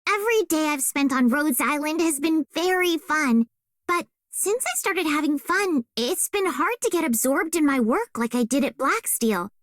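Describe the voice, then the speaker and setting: A woman's voice: sits high, lively intonation, moderate pace, fairly bright tone, no room sound, studio quality. An actress playing a cheerful, easygoing young woman in a video game, talking in an informal, musing way. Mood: relaxed, friendly. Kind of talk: video game character monologue.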